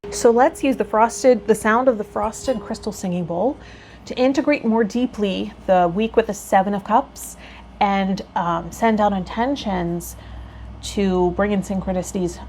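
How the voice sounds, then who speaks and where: A woman's voice: medium pitch, a little slow, darkish tone. Woman reading tarot meanings, noisy recording. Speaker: tarot vlogger and healer hosting a series. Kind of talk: reading tarot meanings aloud with casual commentary. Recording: noticeable background noise.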